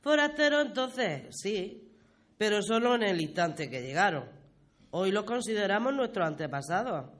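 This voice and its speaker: A man's voice: high-pitched, very animated, moderate pace, fairly bright tone. A man playing a patient local villager in a radio play, explaining things colloquially. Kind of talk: conversational radio play acting.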